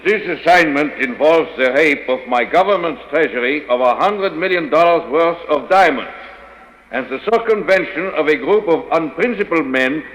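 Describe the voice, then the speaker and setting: A man's voice: a little high, animated, moderate pace, fairly bright tone. A man playing a grave, precise Dutch government representative giving a briefing, in 1940s radio style. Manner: formal, precise. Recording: long echoing hall reverb.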